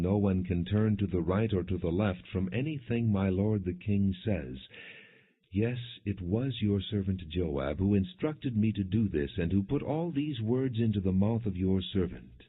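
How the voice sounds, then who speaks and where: A man with a very low voice reads scripture in a measured, formal way, as an audiobook narrator would. His voice is rather husky and rough-edged, and the recording is narrow and muffled, much like a phone line. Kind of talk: scripted scripture narration, non-dramatized reading.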